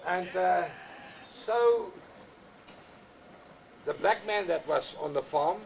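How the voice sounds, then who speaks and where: An older man with an Afrikaans accent, whose first language is Afrikaans, talking plainly and repetitively in halting English as a goat breeder outdoors on a farm. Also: high voice, expressive, vocal fry, a little hiss or hum, rough recording. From South Africa. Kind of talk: casual explanation on a farm.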